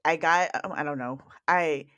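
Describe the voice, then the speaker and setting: Lower-voiced woman hosting a casual chat. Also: moderate pace, pushed and bright. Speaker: interviewer.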